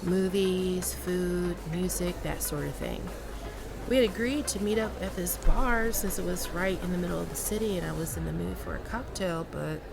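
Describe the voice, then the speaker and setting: A woman's voice: lowish voice, lively intonation, normal speed, vocal fry, background noise. A woman acting a sassy, guarded dominant woman who bickers with a coworker in a one-sided audio roleplay, teasing, sarcastic and easily flustered. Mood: teasing, chatty.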